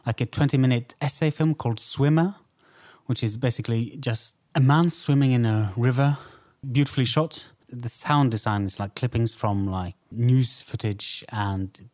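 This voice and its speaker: A man's voice: medium pitch, darkish tone. A man co-hosting a podcast, chatting casually and appreciatively about film in loosely phrased sentences. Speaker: podcast co-host.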